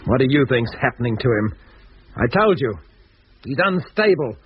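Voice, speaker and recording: A man's voice: mid-range voice, sing-song, normal speed, slightly rough, no echo. A man playing a blunt, scornful spaceship crewman in a 1950s radio serial. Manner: blunt, dismissive. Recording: muffled old radio recording.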